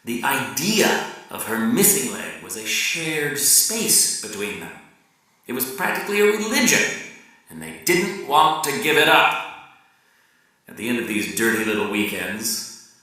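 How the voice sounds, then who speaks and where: Guy doing a theatrical book reading, bright forceful voice, really echoey room. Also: medium pitch, sing-song. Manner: theatrical, deliberate pauses, swinging from hushed to emphatic. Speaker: reader performing a novel excerpt. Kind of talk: dramatic literary reading as a raconteur's monologue. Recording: echoey room, distant from the mic.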